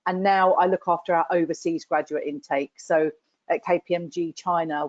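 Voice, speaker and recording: A woman's voice: deep voice, a little mellow, dry recording. A woman from the UK who recruits graduates, introducing herself on an online panel with confident, fluent speech. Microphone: video call. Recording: dry, dull video call audio.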